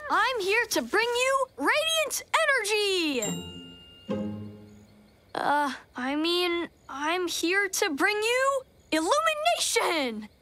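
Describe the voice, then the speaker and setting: A man's voice: very high-pitched, big pitch swings, takes it slowly, exaggerated voice. An actor voicing a nerdy young boy who makes potions and talks science, wordy and a bit nervous. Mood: earnest. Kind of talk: cartoon voice acting. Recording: music behind.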